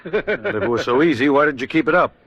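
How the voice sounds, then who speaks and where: Commanding naval interrogator, dry sound. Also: male voice, fairly high pitch, expressive, a little fast, no room sound, highs cut off. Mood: probing. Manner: commanding, pointed questioning. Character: brisk, skeptical Allied naval interrogator. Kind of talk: 1940s radio acting.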